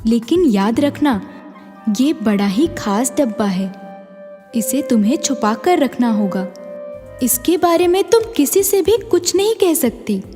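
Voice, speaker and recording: A woman acts a kindly magical fairy from a children's cartoon, caring yet gently stern. She speaks slowly and deliberately in a high voice, wrapped in long, echoing reverb with music underneath. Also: expressive. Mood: solemn, kindly. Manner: deliberate, caring, solemn when warning. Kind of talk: children's animated moral story dialogue.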